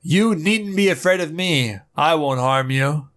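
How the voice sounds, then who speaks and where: Man playing a low, steady, self-assured superhero, comic dub. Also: unhurried. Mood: calm. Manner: steady, reassuring, lecturing. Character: calm, confident male superhero.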